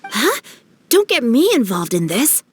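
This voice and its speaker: A woman's voice: a little high, sing-song, unhurried, background noise. A woman voicing a refined, principled young boy in a video game, polite and articulate. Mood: earnest. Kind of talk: animated game dub acting.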